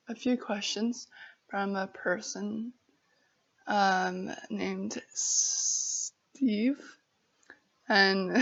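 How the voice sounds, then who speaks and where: Slow, gravelly-voiced American woman vlogger with stutter. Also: mid-range voice, dull audio. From Arizona, United States. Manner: halting, apologetic, giggly. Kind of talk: casual chat to camera.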